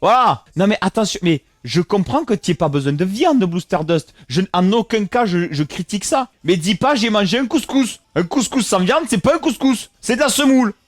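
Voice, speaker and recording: A man with a northern French accent, streaming live and reacting to his chat in an animated, teasing way, swearing freely. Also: high-pitched, normal speed, hardly any pauses, dry recording. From northern France. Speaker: Twitch streamer.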